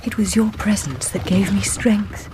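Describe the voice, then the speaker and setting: An actress playing a genteel, sensitive young Victorian lady in a radio drama, polite and earnest, with a British accent and soft emotion. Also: medium pitch, measured pace, noisy background, lightly acted. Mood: pleading, hurt.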